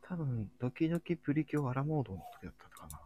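A man hosting a casual live audio chat in a soft-spoken, hesitant way, with a breathy, husky voice. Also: medium pitch, big pitch swings, rapid-fire, a little hiss or hum. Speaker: live show host.

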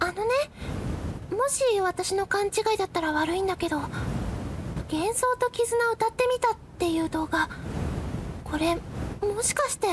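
A woman voicing a teenage schoolgirl who is the captain of a futsal club. She plays her as bright and easily flustered in a radio drama. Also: very high-pitched, animated, unhurried, pauses often, far from the mic, noisy background. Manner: bright, flustered, also narrates her own thoughts.

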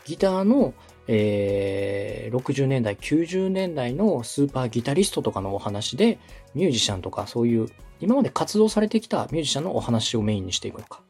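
Husky, mellow male podcast coach. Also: mid-range voice, very animated, a little fast, few pauses, soft background music. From Osaka, Japan. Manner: polite, methodical, explanatory. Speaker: host, podcast producer coaching a client. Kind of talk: structured advice in a podcast consultation.

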